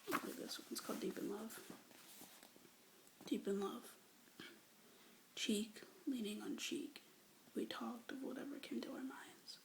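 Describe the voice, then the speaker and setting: A young woman whispering love poems aloud with hesitant, rambling asides between them, breathy and soft, close to a phone or webcam mic. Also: on the high side, measured pace, pauses often, rough recording. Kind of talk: whispered poetry reading, ASMR-like.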